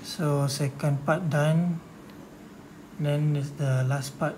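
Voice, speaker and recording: Low, slow-talking woman doing a game walkthrough. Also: fairly even intonation, a little hiss or hum. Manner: low-key, short phrases. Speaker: gamer narrating own walkthrough. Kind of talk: casual game walkthrough commentary. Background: loud game music.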